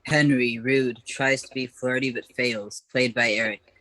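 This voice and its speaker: A man's voice: medium pitch, fairly even intonation, a little slow, sharp tone, poor audio. A man reading out a cast list for an amateur radio play. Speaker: cast announcer.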